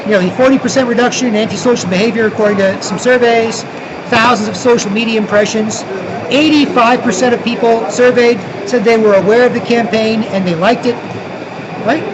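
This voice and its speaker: A man's voice: extremely high pitch, steady tone, fairly quick, highs cut off, background noise. A Canadian man from Toronto vlogging outdoors, rambling, restarting often and tagging lines with "right?". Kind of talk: rambling street vlog monologue.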